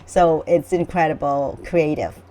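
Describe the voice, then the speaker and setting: A woman's voice: low-pitched, fairly even intonation, measured pace, warm tone. Earnest middle-aged woman. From Vietnam. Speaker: memoir author, panel guest. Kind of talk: personal storytelling in conversation.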